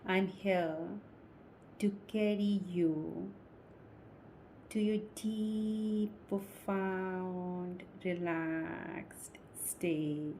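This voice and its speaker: Woman, hypnotist, extremely slow pacing, soft dark tone, soothing short phrases, faint background noise. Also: fairly low pitch, fairly even intonation. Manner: soothing, deliberate short phrases with long pauses. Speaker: hypnotist, guided meditation guide. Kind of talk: slow guided meditation, hypnosis induction.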